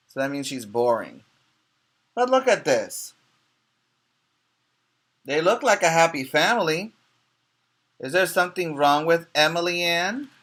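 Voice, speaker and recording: A man's voice: a little high, a bit bright. A man who is a preschool teacher, reading a storybook aloud expressively to young children and explaining it with questions and emphasized key words.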